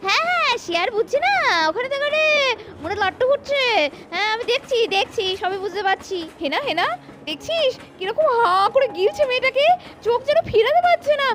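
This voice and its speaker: Woman as jealous young doll woman: very high pitch, lively swings, dramatic sarcasm. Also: fairly quick, heavy background noise. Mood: jealous, indignant. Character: jealous, sharp-tongued young woman doll, fuming and scheming. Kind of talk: doll-cartoon dialogue.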